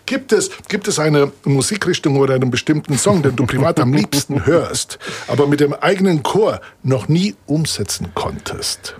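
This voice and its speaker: A man's voice: a little high, animated, moderate pace, few pauses, slightly rough. A man interviewing a guest, speaking in an encouraging, rambling way. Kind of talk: casual interview talk.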